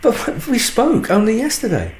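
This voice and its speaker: A man with a British accent acting a harried, defensive husband in a naturalistic radio drama, speaking in an agitated, halting way. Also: very high-pitched, huge pitch swings, slight background noise, heavily acted. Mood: flustered apologetic. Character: harried, defensive husband under mounting strain.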